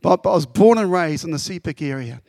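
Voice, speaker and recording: Brief: incoming pastor from Papua New Guinea, raised speaking Tok Pisin, fervent and heartfelt, slightly high voice, dry sound. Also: male voice, expressive, quick pace, creaky, darkish tone, no room sound. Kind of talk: address to congregation. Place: church.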